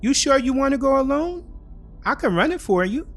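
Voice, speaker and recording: A man acts out a boyfriend in an audio thriller, pitched up and louder than narration. He talks casually and offhandedly, eager to sound helpful, with a concern that rings false. Music plays underneath. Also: animated, moderate pace. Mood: falsely concerned.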